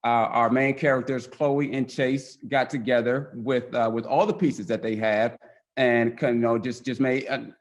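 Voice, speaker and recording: Man, medium pitch, casual guest presenter explaining a story haltingly. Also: steady tone. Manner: hesitant, repeats phrases. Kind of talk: casual explaining of a picture book.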